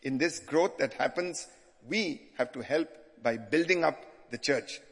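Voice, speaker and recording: Older Indian-accented bishop in an echoey hall. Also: male voice, fairly high pitch, unhurried, slightly rough. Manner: hesitant, frequent restarts. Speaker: visiting bishop. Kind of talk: sermon-style address.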